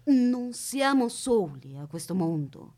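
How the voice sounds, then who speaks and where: An actress plays an eccentric lady captor in an absurdist radio play. Her voice is high and very animated, sweeping up and down. She is prim and imperious, with childlike, earnest logic. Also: slow. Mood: prim, lecturing. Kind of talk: absurdist radio theatre acting.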